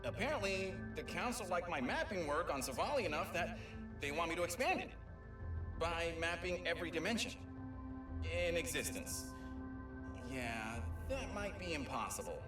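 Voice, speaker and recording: An actor playing an excitable young male Lombax mapmaker who stammers and rambles, in a video game audio log. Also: fairly high pitch, moderate pace. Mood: excited. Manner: stammering, talkative, self-amused. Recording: echoey and distant, music behind.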